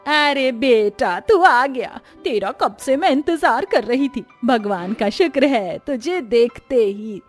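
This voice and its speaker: A woman's voice: on the high side, animated, slow pace, few pauses, faint music behind. A woman voicing a fretful, affectionate middle-aged village mother-in-law in a Hindi cartoon story, theatrical and exclaiming. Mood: delighted.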